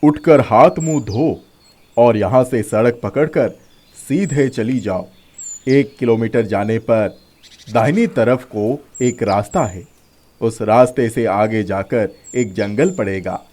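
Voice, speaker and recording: A man's voice: mid-range voice, slow pace, mellow. A man voicing a helpful magical talking pakora in a children's story, giving instructions in a commanding way. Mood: commanding, reassuring. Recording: music playing behind the voice.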